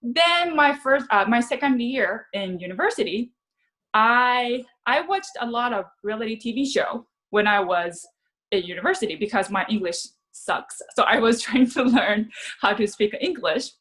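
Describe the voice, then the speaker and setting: Woman, Japanese accent, highish voice, chatty, laughs often, interview guest. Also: expressive, moderate pace, fairly bright tone. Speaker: actress guest. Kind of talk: casual interview chat.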